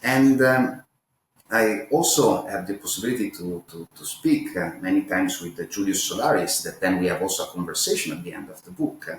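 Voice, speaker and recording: A man's voice: deep voice, fairly quick, roomy sound, a little hiss or hum, rough recording. A man with an Italian accent, an author answering interview questions hesitantly, with many fillers and restarts. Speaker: author, guest.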